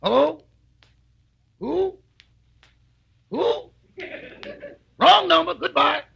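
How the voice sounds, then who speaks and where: Valet, radio comedy, 1940: dry wisecracker, very creaky high voice, crackly broadcast. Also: male voice, sing-song, very slow, fairly bright tone, dull audio, full character voice. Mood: puzzled. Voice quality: gravelly, creaky. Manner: dry, wry, back-talking. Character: sardonic wisecracking male valet. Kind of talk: 1940s radio comedy acting.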